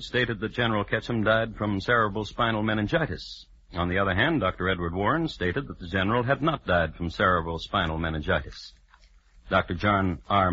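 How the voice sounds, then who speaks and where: A man playing a dry, formal crime historian, narrating in deadpan 1950s radio style. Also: low-pitched, breathy edge, full character voice. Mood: dry, precise. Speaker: narrator and host. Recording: muffled vintage radio broadcast recording.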